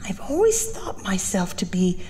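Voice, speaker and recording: A woman's voice: on the low side, very animated, a little slow, darkish tone, a little hiss or hum. A woman playing a chatty, nosy cleaning lady in a small-town library, dropping into confiding whispers in an audio horror drama. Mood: gossipy. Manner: confiding, gossipy, slips into whispers.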